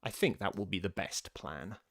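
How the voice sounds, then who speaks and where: A man's voice: low-pitched, expressive, normal speed, fairly bright tone. A plucky young girl from a storybook, as a male reader puts her on. She muses to herself with self-assurance. Her intonation rises and falls freely. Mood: musing.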